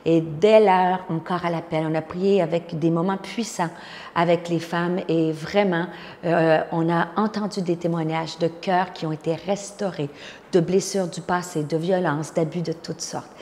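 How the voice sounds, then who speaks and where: A Québécois woman in her fifties speaks as a pastor, giving her personal testimony with earnest conviction and the odd filler. Her voice sits a little low, and a long reverb trails behind her words. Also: fairly even intonation, measured pace, a little mellow. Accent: Québécois French. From Quebec, Canada.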